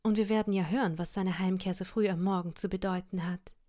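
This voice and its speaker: A woman voicing a poor young wife who scolds and laments in a high, shrill voice, read as part of an audiobook. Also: fairly even intonation, brisk, mellow, lightly acted. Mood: alarmed. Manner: shrill, indignant, self-pitying laments. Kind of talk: audiobook reading of a classic tale.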